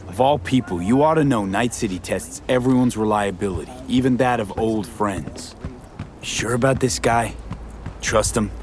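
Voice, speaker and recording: An actor playing a blunt, skeptical, streetwise mercenary in a video game, talking in terse, clipped, slangy phrases. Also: male voice, fairly low pitch, normal speed, darkish tone, lightly acted. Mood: skeptical, impatient. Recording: noisy street ambience behind.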